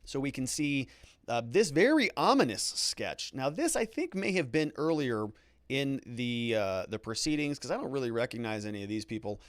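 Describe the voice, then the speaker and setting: A man's voice: mid-range voice, sing-song, moderate pace, dry recording, studio quality. A man hosting a livestream of legal commentary, thinking aloud conversationally with hedges and repeated words for emphasis.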